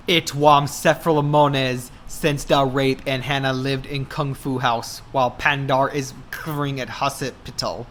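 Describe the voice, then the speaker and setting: A man reading a story aloud in a mock-dramatic style, deliberately and with a straight face. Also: medium pitch, fairly bright tone, a little hiss or hum. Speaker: dramatic reader of fan fiction.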